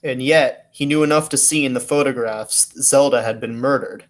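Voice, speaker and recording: A man's voice: medium pitch, normal speed, natural voice. A man playing an earnest, puzzled detective hero in the style of a 1940s radio detective play. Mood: probing.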